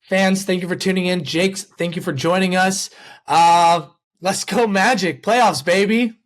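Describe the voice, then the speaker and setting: A male sports host with a high-pitched voice, chatting casually like a fan. He often restates a phrase to drive it home. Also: unhurried.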